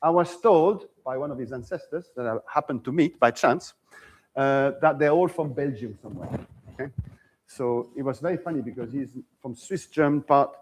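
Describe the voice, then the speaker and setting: A man's voice: medium pitch, moderate pace, darkish tone, husky, low-quality recording. A man giving an academic seminar lecture in a conversational way, often checking in with "okay?" and restarting phrases. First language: French. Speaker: visiting lecturer.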